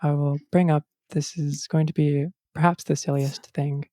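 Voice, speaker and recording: Low-pitched woman running a tabletop game. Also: flat delivery, mellow, dry recording, clean studio sound. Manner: halting, hesitant delivery full of trailing false starts. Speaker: game master. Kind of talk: improvised narration and casual table talk in a tabletop game.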